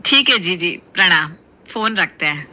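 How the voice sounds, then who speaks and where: A woman's voice: fairly low pitch, lively intonation, measured pace, sharp tone, exaggerated voice. A woman voicing a middle-aged Indian mother in a cartoon story, scolding and placating with exclamations. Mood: forced politeness. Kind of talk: Hindi cartoon story voice acting.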